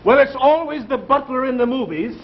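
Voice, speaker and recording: An actor playing a suspicious, scatterbrained police sergeant in a 1950s radio comedy, halting and interrogating. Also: male voice, very high voice, animated. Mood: blustering. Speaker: comic actor in a radio show. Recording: muffled old radio recording, noisy studio audience. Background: audience and clear noise.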